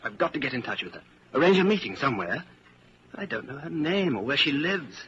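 A suspicious, fearful man in a vintage radio drama. His voice is bright and tense with wide swings of intonation, on a scratchy, dull old recording. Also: mid-range voice, sing-song, fairly quick, husky, exaggerated voice. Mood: anxious, brooding. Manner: tense, brooding, urgent. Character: anxious, suspicious male office worker under a regime. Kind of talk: 1940s radio acting. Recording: scratchy, muffled 1940s radio broadcast.

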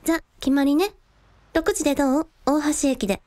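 A woman's voice: high voice, moderate pace, dark tone, no echo, clean studio sound, lightly acted. A woman playing a sweet, sociable young woman, one of three sisters, in a radio drama. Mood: cheerful. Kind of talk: Japanese radio drama acting.

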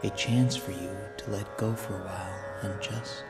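A man narrating a scripted guided sleep meditation in a soothing, deliberate way, with an echoey sound. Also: deep voice, steady tone. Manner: slow, soothing, deliberate delivery. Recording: echoey voice under loud music.

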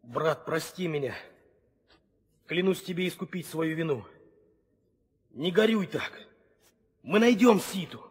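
An earnest, loyal warrior brother. The voice is very raspy and fairly high, slow and reverberant, in theatrical 1960s audio drama style. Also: male voice, lively intonation. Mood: remorseful. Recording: long reverb, old studio recording.

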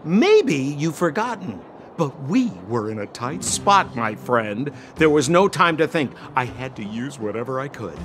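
A man's voice: medium pitch, big pitch swings, unhurried, few pauses, a bit bright, slight background noise. A man voicing a shifty, glib young con man in a video game, theatrical and full of exaggerated salesman patter. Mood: defensive, pleading.

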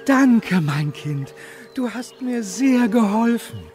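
A little dwarf king from a fairy-tale radio play. He is kindly and solemn, drawing his words out slowly with big swings in pitch. Faint music plays behind him. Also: animated, a little mellow. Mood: grateful, solemn. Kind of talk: German fairy-tale audio drama.

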